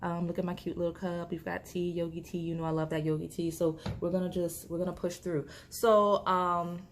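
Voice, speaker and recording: A woman with a lowish voice, a little hoarse, talks casually through a tarot reading on a desk camera mic. She rambles with plenty of fillers and restarts. A faint hiss sits under the recording. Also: little pitch movement, a little fast. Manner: chatty, filler-heavy, restarts, laughs. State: slightly hoarse. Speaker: tarot reader.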